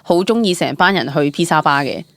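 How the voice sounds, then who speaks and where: A young woman with a Hong Kong Cantonese accent chats as an interview guest, telling stories in an animated, candid way and dropping in English words. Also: low voice, fairly quick, no room sound. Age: young adult. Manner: animated, chatty, mixes English words into speech. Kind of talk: casual, candid storytelling.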